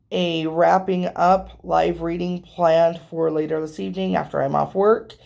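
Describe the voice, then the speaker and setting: A woman hosting a casual tarot vlog who rambles chattily to her viewers, sounding hoarse and a little nasal from allergies. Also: on the low side, darkish tone. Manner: rambling, chatty, with frequent ums. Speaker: tarot reader and channel host.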